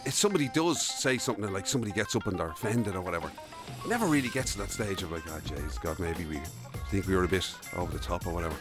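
A man's voice: low voice, expressive, fast, music in the background. An Irish man co-hosting a podcast in casual, sweary banter, acting out bits of dialogue as he talks. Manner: sweary, acts out mock dialogue. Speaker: podcast co-host.

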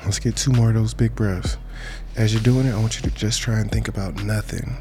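A man with a lowish voice leads a guided meditation. He speaks informally and encouragingly, like someone talking plainly to listeners. Music plays clearly behind his voice. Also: fairly even intonation, fairly quick. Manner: casual, colloquial, encouraging.

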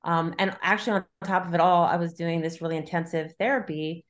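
A woman's voice: fairly low pitch, fairly even intonation, a little fast. A middle-aged woman talking casually as an interviewed novelist, thinking aloud in loose, looping sentences. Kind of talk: casual conversational interview about writing.

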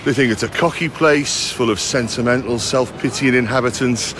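A man's voice: mid-range voice, normal speed, noisy background. Chatty Scouse man presenting a travel show. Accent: Liverpool (Scouse). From Liverpool, England. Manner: chatty, direct address to viewers. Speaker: documentary presenter.

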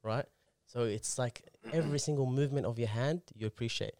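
Man, calligrapher guest, eager and explanatory, quick pace, dry close sound. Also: mid-range voice, darkish tone, no room sound. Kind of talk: casual podcast conversation.

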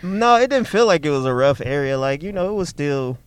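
A young man from the Detroit area chats loosely about where he grew up. He jumps into little acted-out voices for the people in his stories and laughs along. His voice sits a touch high and comes through smooth and clear. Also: brisk. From Detroit area, Michigan. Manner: animated storyteller, acts out voices, laughs often. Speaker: comedian guest. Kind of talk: casual interview chat.

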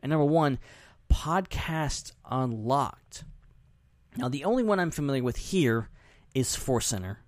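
A man's voice: medium pitch, expressive, measured pace, dry recording, studio quality. A man chatting casually and animatedly as a podcast host.

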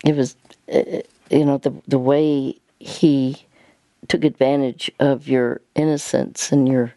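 An older woman hosting a radio counseling show, speaking gently and haltingly with many pauses and fillers. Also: a bass voice, little pitch movement, no echo.

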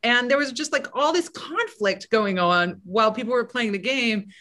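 A woman's voice: on the high side, lively intonation, moderate pace, bright, forceful tone. A woman screenwriter with a big voice, chatting casually as a podcast guest, self-deprecating and full of restarts.

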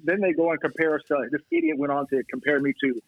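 A man talking about policing as a podcast guest, telling stories fast and emphatically with quoted exchanges. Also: a little high, big pitch swings, gravelly. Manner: fast, emphatic storytelling with quoted back-and-forth. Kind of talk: casual podcast chat. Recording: tinny phone-line audio.

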